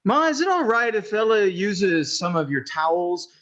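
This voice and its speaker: An eager, stammering young husband, played by a man, very high for a man and swinging widely in pitch. He is a boyish mama's boy in an old-time radio play. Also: very animated, normal speed, fairly bright tone, highs cut off. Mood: meek, pleading.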